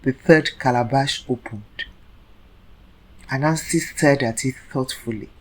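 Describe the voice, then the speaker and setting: A woman storyteller narrating a scripted folktale in a dramatic, deliberate manner. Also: a bass voice, low-quality recording.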